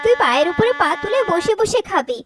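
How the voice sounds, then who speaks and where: Cartoon mother: proud, scolding, high and very animated, emphatic delivery. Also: a little fast, noisy background. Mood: gloating. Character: sharp, scolding aunt and doting, proud mother. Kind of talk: Bengali moral-story cartoon dub.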